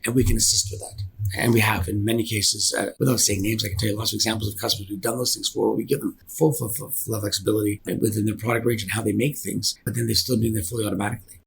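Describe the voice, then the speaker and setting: A man's voice: fairly low pitch, steady tone, fast talker, gravelly, a little hiss or hum, rough recording. A middle-aged man from Canada explaining things conversationally as a business owner being interviewed on a podcast, often repeating words for emphasis. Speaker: engineering company founder and director, interview guest.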